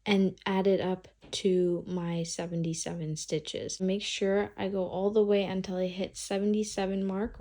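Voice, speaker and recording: A woman's voice: medium pitch, dark tone. A young woman with a cold, chatting casually through a knitting tutorial and thinking aloud as she counts stitches. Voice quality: slightly congested from a cold. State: has a cold. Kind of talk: casual step-by-step craft tutorial.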